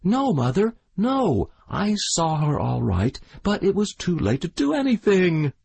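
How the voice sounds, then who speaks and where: A man's voice: high-pitched, sing-song, moderate pace, creaky, slightly rough, voice pushed up, full character voice. A man voicing a frightened boy pleading his innocence to his mother. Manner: pleading, defensive.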